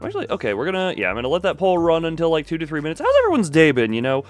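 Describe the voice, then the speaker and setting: A man chats casually as a game streamer, with a lively, animated pitch. He is playful and jokey, rambling as he answers his viewers. Faint music plays behind his voice. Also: racing. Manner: playful, jokey, talking back to chat.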